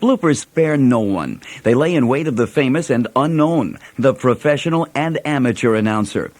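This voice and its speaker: A man's voice: mid-range voice, animated, normal speed, a little mellow. A man reading scripted narration as presenter of a recorded collection. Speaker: narrator introducing a bloopers collection.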